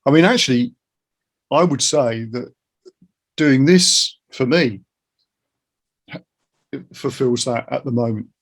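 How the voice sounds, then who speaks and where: Reflective man, slightly husky voice. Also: medium pitch, animated, takes it slowly, long pauses. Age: middle-aged. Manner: reflective, informal, circling round ideas. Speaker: interviewer, guitar teacher. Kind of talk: casual chat, musing.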